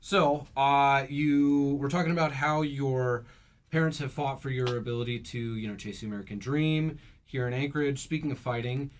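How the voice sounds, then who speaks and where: Guy interviewing for local news, casual, trips over words sometimes, middle pitch. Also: fairly even intonation, normal speed. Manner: casual, conversational, stumbles on words.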